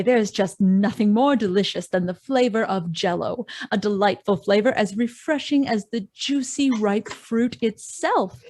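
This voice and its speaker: A woman announcer reading an old-time radio commercial in a cheery sales pitch, live over a video call. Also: a little mellow, dry recording. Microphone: home microphone on a video call.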